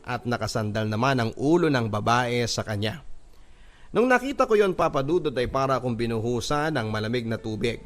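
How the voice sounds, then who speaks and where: A Filipino man reading a scripted love story in the first person as a radio storyteller, with a measured, emotive delivery. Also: mid-range voice, big pitch swings, faint music behind.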